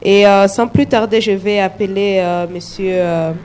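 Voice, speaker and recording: A woman's voice: mid-range voice, steady tone, unhurried, darkish tone, a little hiss or hum. A woman acting as moderator, introducing the speakers with hesitant fillers.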